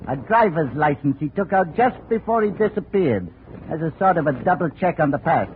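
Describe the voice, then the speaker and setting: A man's voice: fairly high pitch, expressive, normal speed, lightly acted. An actor playing a shady, self-assured private investigator in a 1950s radio detective drama, sly and clipped as he bargains. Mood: sly persuasion. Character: shady male private investigator, coolly baiting a blackmail deal. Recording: narrow, tinny, hissy old radio recording.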